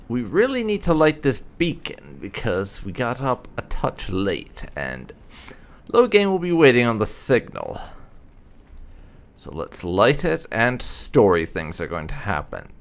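A man with a lowish voice hosts a game playthrough, swinging widely in pitch as he comments. He is casual, dry and sardonic, taking his time with his words. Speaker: gaming video host.

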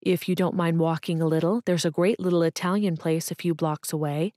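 Gentle, kind businessman, read by a woman in her low voice; calm, measured. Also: no echo, studio quality, close to an everyday voice.

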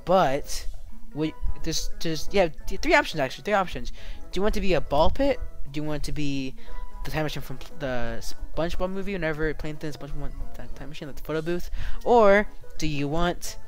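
A performer playing an excitable, scheming animatronic rabbit in an improvised Minecraft roleplay, bantering and exclaiming. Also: medium pitch, a little fast, faint music behind, lightly acted. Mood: eager explaining. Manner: exclaiming, bantering, swooping between mutter and yell.

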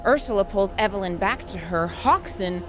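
A woman's voice: medium pitch, animated, normal speed, over music. A woman host giving scripted dramatic narration of a story.

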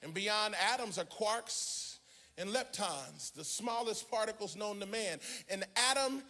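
A middle-aged American man preaching an animated, emphatic sermon as a pastor, theatrical, into a handheld microphone in a large church auditorium. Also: high-pitched, a little slow. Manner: emphatic, theatrical, often shouting and half-singing.

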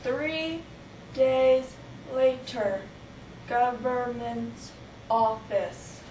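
Very slow high-voiced girl narrator. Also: steady tone, darkish tone, slight background noise, rough recording. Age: young teen. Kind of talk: scripted scene announcements.

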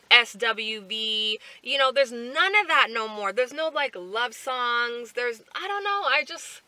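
A woman in her twenties chatting casually to camera from a parked car, animated and full of fillers and asides. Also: a little high, no echo. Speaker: vlogger. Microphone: camera on the dashboard.

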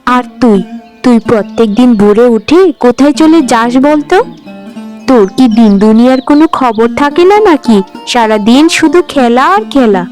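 Woman voicing a high-pitched, scolding elder sister. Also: normal speed, a little mellow, soft background music, natural voice. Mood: irritated, scolding. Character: bossy, scolding elder sister of a poor village family. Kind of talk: Bengali cartoon voice acting.

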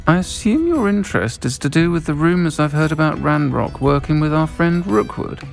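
A man's voice: a little high, expressive, normal speed, creaky, faint music behind. An actor voicing a friendly, middle-aged witch who keeps a tavern in a video game, speaking frankly and conversationally. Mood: frank, earnest.

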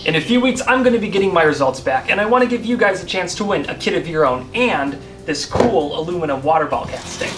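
Casting: young American man, slightly high voice, informal science host explaining things casually, music behind him. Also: lively intonation, fast talker, hardly any pauses. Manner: informal, conversational. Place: open-fronted garage workshop.

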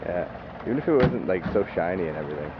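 A man's voice: deep voice, animated, fast. A man with a Canadian accent chatting casually and slangily outdoors as he films on a handheld camera. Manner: laid-back, slangy, often ends with "eh". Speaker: amateur vlogger behind the camera. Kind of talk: casual chat. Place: outdoors in a parking lot. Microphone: handheld camera microphone. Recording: muffled camcorder sound, loud outdoor vehicle noise.

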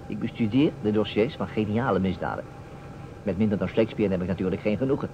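A man acting a self-assured, slyly teasing detective, measured and deliberate with dramatic pauses. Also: fairly low pitch, close to an everyday voice. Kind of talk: old Dutch radio play acting. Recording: muffled old radio recording, faint noise.

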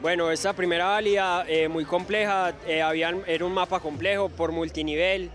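A man giving a measured, analytical post-race interview. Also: high voice, steady tone, a bit bright.

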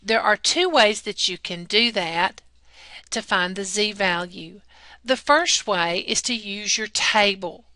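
Patient female math tutor, slow and deliberate, bright tone, dry close voice-over. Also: mid-range voice, no room sound. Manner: patient, step-by-step teacherly delivery. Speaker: math lab technician narrating a lesson. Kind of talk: slow, deliberate classroom explanation over slides.

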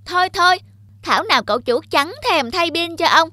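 A woman's voice: very high-pitched, expressive, brisk, bright, forceful tone, no echo, studio quality, heavily acted. A woman voicing an arrogant young male talking diploma in a cartoon, smug and taunting. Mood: mocking. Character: boastful, arrogant young male diploma, mocking his elders. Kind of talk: cartoon dubbing.

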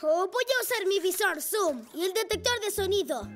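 Cartoon lead: very high, childlike boy motorbike, earnest and eager, music underneath. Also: lively intonation, normal speed, fairly bright tone. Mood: eager, curious. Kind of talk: children's cartoon dialogue in Spanish. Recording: music behind the voice.

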